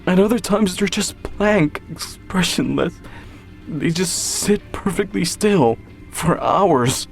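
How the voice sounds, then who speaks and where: Man voicing distraught, volatile woman, pitched high. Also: animated, takes it slowly, vocal fry, faint music behind, exaggerated voice. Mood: tearful, haunted. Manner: sobbing, snapping, shouting. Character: distraught unstable woman, tearful, accusing and volatile.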